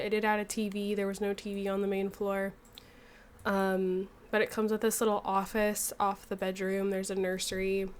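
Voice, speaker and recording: A young woman giving rambling, casual gameplay commentary, a bit nasal and still getting over a cold. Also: medium pitch. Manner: rambling, chatty, lots of fillers. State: recovering from a cold, slightly nasal. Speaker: gaming YouTuber.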